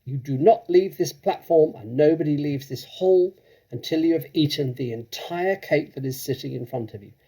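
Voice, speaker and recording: A man's voice: on the high side, normal speed, mellow, husky, pitched above the performer's own voice, rough recording. A man voicing a tyrannical, bullying headmistress in a storybook reading, bellowing domineering commands. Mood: menacing. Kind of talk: storybook character acting.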